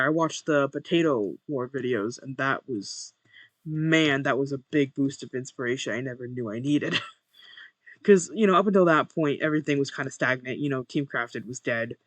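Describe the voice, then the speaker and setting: A young man with a monotone voice, chatting casually while playing a game and muttering to himself over his first recording. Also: a little high, no echo. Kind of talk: casual gameplay commentary.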